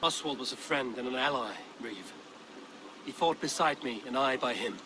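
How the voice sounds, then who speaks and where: An actor playing a resolute Viking warrior leader in a video game cutscene, speaking firmly and plainly. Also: male voice, mid-range voice, normal speed, background noise, natural voice. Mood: firm, earnest. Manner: firm, decisive, plain-spoken.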